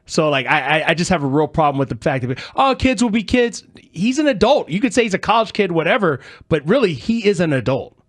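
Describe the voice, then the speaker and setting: Insistent American man, slightly high voice. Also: very animated, a little fast, few pauses. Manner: insistent, repeats points. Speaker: sports radio host. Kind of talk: casual, opinionated radio talk.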